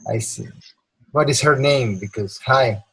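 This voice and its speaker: A man moderating an informal online meeting, halting and often repeating his words. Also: mid-range voice, fairly bright tone, husky, rough recording. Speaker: meeting topic master, moderator. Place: online video call. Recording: noticeable background noise, clicks.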